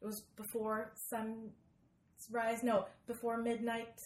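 Young woman, chatty and animated storyteller who interrupts herself, roomy sound with faint noise. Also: a little high. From Sweden. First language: Swedish. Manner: animated, halting, self-interrupting. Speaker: podcast host being interviewed. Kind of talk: casual conversational storytelling. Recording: roomy echo, background noise.

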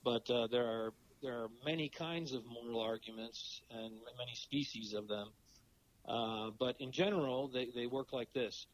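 A middle-aged man with a cold and a raspy voice, talking as a podcast guest in a halting way with many fillers. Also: medium pitch, a little hiss or hum, low-quality recording. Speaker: podcast guest, philosophy professor. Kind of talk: casual interview talk.

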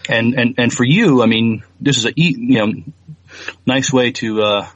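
A man's voice: mid-range voice, moderate pace, fairly bright tone, no room sound, lo-fi sound. A man co-hosting a podcast, chatting casually about entertainment news and often thinking aloud in a hesitant way. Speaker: podcast co-host.

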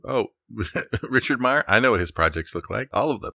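A man's voice: mid-range voice, animated, normal speed, creaky, pushed and bright, dry recording, clean studio sound. A middle-aged man co-hosting a podcast, chatting casually and chiming in agreeably. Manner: agreeable, interjecting reactions. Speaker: podcast co-host, architect.

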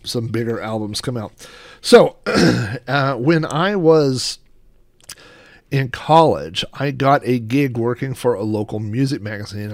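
A man's voice: mid-range voice, no echo. Middle-aged American man, casual and rambling, dry sound. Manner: rambling, anecdotal. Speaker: host of a personal collection show. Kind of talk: casual reminiscing show-and-tell.

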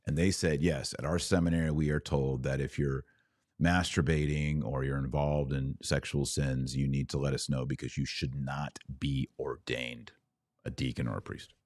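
A man with a very low, deep voice gives religious instruction in a close, dry recording. He is deliberate and didactic, setting phrases apart with emphatic pauses as he lectures like a podcast teacher. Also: level tone, darkish tone, no echo.

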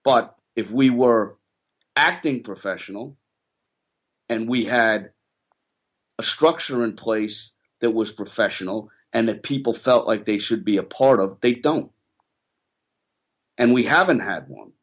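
A man who once coached rugby, ranting about player development on a casual podcast. He gets fired up and his voice climbs higher as he argues. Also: unhurried, pauses often. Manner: passionate, ranting, rising pitch when worked up.

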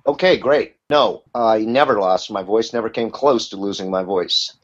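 A man with a fairly low voice, chatting as an interview guest. He tells stories in a candid, rambling way, stopping and restarting his sentences. The sound is dull and lo-fi. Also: moderate pace. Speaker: voice actor guest. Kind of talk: casual interview talk. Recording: muffled lo-fi audio.

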